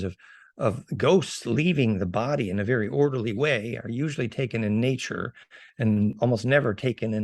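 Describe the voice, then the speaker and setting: Male guest lecturer, gravelly creak, heavy on technical jargon, dry close sound. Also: mid-range voice, dry recording. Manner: expounding in dense technical jargon. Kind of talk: spoken lecture in a discussion.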